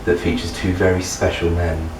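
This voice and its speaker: A man's voice: low-pitched, completely flat delivery, moderate pace, background noise. A man speaking as the narrator of a playful storytime reading. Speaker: guest narrator in a comedy readthrough. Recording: echoey room, distant mic, music underneath.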